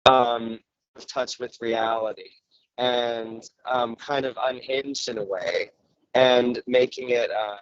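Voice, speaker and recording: A man's voice: mid-range voice, steady tone, takes it slowly, smooth, no echo, highs cut off. A man chatting casually as a panelist, feeling his way through sentences with frequent hesitations. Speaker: panelist discussing a production.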